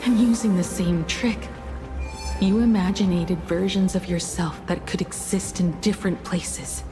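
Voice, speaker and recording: A resolute video game heroine, acted by a woman, facing down her enemy. Her voice sits a little low, and she speaks slowly and firmly, weighing each phrase with stern confidence. Prominent music runs underneath. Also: fairly even intonation, lightly acted. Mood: accusing. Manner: deliberate, firm, confrontational, measured. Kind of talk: dramatic video game voice acting. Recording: loud game music behind. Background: game soundtrack music.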